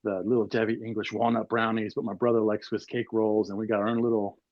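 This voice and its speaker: A man's voice: fairly low pitch, level tone, quick pace, dry recording, muffled recording. Rambling storyteller man in his forties. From Maryland, USA. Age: 40s. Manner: rambling storyteller, many fillers. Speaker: podcast guest. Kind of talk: casual chat.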